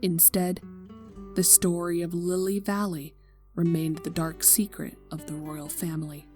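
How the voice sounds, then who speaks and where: Somber woman narrating over soft guitar. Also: fairly low pitch, flat delivery. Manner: somber, slow, dropping to near-whispers. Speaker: fanfic reader. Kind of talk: scripted narration of a tragic story. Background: soft guitar music.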